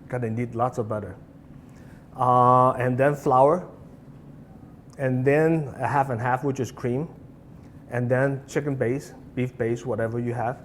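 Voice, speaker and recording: Chef, middle-aged man, Hong Kong accent, informal hesitant delivery, recorded in a reverberant, noisy room. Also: mid-range voice. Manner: rambling, hesitant, non-native phrasing. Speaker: chef and cooking teacher. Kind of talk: casual interview answers and explanation.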